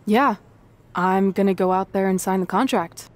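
A woman's voice: mid-range voice, normal speed, close to an everyday voice. An actress playing a sensible, guarded woman wrestler in a video game cutscene, dryly skeptical. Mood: dry, cautious. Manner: level-headed, dryly skeptical.

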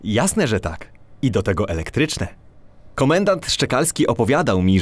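A man's voice: on the low side, dry recording. A man playing a polite, self-assured cat who is a police gadget expert, in a children's audio drama.

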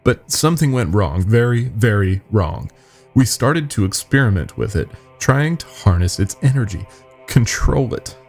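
A man as young regretful company worker, low pitch, animated intonation, grave and confiding, horror audio story. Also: normal speed, faint music behind. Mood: uneasy. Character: young male company worker, nervous, regretful, confessing secrets.

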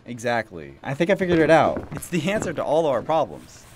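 An actor playing a needy, eager praying mantis boyfriend in an animated film, talking in a pleading, excitable, naturalistic way. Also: male voice, medium pitch, fairly quick, slight background noise. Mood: excited.

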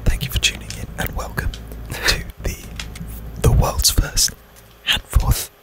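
A man's voice: unhurried, lightly acted. Whispering mock-ASMR presenter, put on by a man. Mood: mock solemn. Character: mock-solemn ASMR presenter quoting pompous council members.

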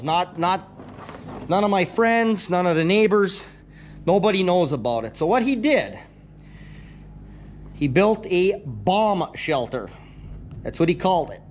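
Middle-aged farmer, rambling plainspoken storyteller, a bit high-voiced, in a barn with a faint hiss. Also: male voice. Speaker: farmer vlogger. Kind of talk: casual walkthrough narration. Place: inside a large old wooden barn. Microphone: action camera, held or set nearby.

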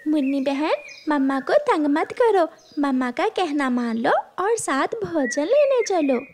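An earnest, dutiful young girl bird from a cartoon, played by a woman. The voice is very high and lively, with a pleading, well-meaning manner. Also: a little slow, slight background noise.

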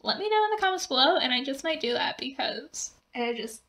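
Giggly young woman, voice going hoarse. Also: high-pitched, animated, a little fast, pushed and bright. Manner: rambling, giggly, lots of fillers. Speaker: gaming video creator. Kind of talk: casual voice-over narration of a building game.

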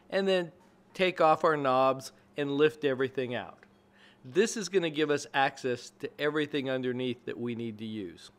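A man's voice: medium pitch, fairly bright tone. Man narrating step-by-step how-to. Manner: plain instructional, step by step. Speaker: narrator of a DIY install video.

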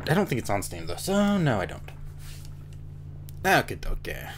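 A man's voice: mid-range voice, wildly swooping pitch, moderate pace, fairly bright tone, slight background noise. A man giving joking, sarcastic gameplay commentary as host on a headset. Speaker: let's play host. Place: small room indoors. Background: game music.

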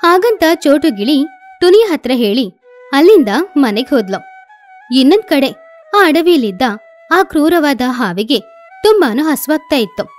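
A woman's voice: sits high, very animated, moderate pace, pauses often, faint music behind. A woman narrating a scripted children's story as the storyteller.

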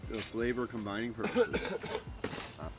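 A man's voice: mid-range voice, very animated, moderate pace, recorded from a distance. A young man answering questions in a dry, offhand way outdoors at a campsite. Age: young adult. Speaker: travel companion. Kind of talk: casual chat. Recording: loud music over the voice.

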